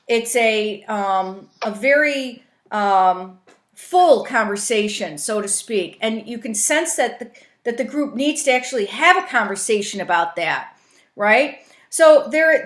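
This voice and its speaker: Female trainer, higher voice, informal explanatory talk, checks in often, roomy conference-call sound. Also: a bit bright. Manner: explanatory, often checks in with "right?" and "you know". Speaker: trainer, facilitator teaching a coaching tool.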